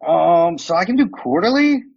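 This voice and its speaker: A man's voice: high voice, very animated, moderate pace, creaky, lo-fi sound, slight background noise. A man whose accent mixes American and Romanian, talking casually as a podcast guest and walking through what he sees on screen with many fillers. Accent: American mixed with Romanian. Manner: loose explaining with many fillers like "like" and "um". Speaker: guest expert demonstrating software.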